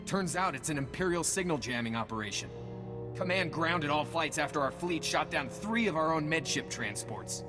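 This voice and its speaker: A frustrated young soldier acted by a man speaks urgently and informally, his voice on the high side. It is video game dialogue with long reverb and loud music. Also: animated, normal speed, natural voice. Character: frustrated young male Republic soldier pleading for help.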